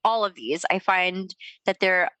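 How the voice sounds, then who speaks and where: A woman's voice: lowish voice, unhurried, pushed and bright, dry recording. A young adult woman co-hosting a podcast, with a stuffed-up, sniffly nose and a rambling, filler-heavy way of talking. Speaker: podcast co-host. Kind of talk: casual chat.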